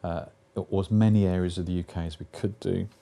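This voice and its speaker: A man's voice: low-pitched, fairly even intonation, fairly quick, gravelly, dark tone. A man in a documentary interview, talking in a plain, thoughtful way with small restarts.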